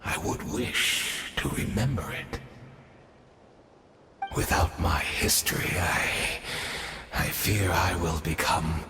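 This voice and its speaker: A male actor playing a cunning, fervent exile in a video game, speaking in a measured, formal, theatrical way with dramatic pauses. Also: low-pitched, sharp tone, echoey room, big-room sound, slight background noise, full character voice. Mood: mournful, pleading. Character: cunning, fervent exiled man scheming to restore his fallen homeland. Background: music.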